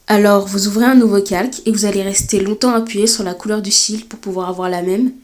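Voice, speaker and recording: A woman with a cold giving a step-by-step drawing tutorial, talking hesitantly with many filler pauses. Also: mid-range voice, fairly even intonation. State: has a cold. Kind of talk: screen-recorded step-by-step tutorial.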